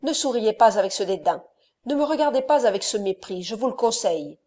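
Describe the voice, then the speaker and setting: A woman voicing a furious, jealous nobleman who hurls loud accusations in an audiobook reading. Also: on the high side, lively intonation, brisk. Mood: scornful.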